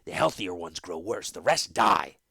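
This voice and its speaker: A man's voice: low-pitched, very animated, moderate pace, gravelly, pushed and bright, breathy edge, no room sound, studio quality, exaggerated voice. A man voicing a sick, bandaged male rebel leader who speaks urgently and insistently.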